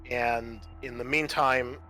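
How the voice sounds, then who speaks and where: A man narrating a tabletop roleplaying game as its game master, halting as he thinks aloud. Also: medium pitch, steady tone, pushed and bright, slight background noise, poor audio.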